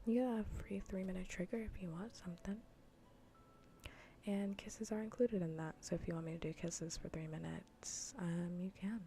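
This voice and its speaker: A woman streaming in a soft, breathy, often whispered ASMR voice, chatting informally and playfully close to the mic. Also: on the low side, steady tone, moderate pace, slight background noise. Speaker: streamer hosting a live cozy gaming broadcast. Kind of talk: soft-spoken ASMR casual chat. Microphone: close ASMR microphone.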